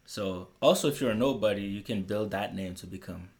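A man's voice: on the low side, fairly quick, darkish tone. A young man hosting a casual podcast, asking questions and nudging his guest along. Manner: easygoing, prompts with questions and "mm-hmm". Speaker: podcast host. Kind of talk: casual interview chat.

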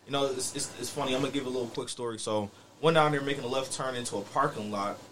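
A man's voice: medium pitch, fast, a bit bright, slight background noise. A man with a US East Coast accent telling a story in casual chat, slangy, profane and boastful.

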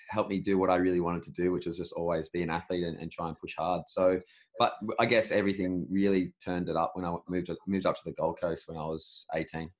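A young Australian man with a notably low, deep voice talks through his past in an easy, rambling way. He often restarts a sentence partway through, keeping a casual interview tone. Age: 20s. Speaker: podcast host, interviewee.

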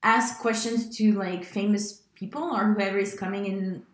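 A woman's voice: medium pitch, fairly even intonation, moderate pace, roomy sound. A woman co-hosting a casual podcast, rambling and filling her speech with "like", laughing often. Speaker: podcast co-host. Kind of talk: casual chat.

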